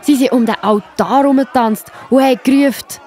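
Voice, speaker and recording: A woman's voice: sits high, fairly quick, warm tone, a faint crowd, slight background noise. A woman with a Swiss accent narrating a children's Bible story, explaining simply and speaking directly to young listeners.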